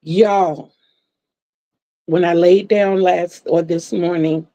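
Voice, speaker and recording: A woman's voice: fairly low pitch, steady tone, slow, mellow, dry recording. A woman in her sixties chatting casually to viewers from home, laughing often and repeating words. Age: 60s. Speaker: livestream vlogger. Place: home room lit by a floor lamp.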